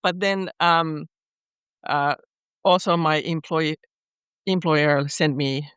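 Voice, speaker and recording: A middle-aged woman from Finland with a Finnish accent, a guest poet talking in an unhurried way with pauses as she searches for words. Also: low-pitched, fairly even intonation, fairly bright tone, smooth, no echo, clean studio sound. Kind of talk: podcast interview.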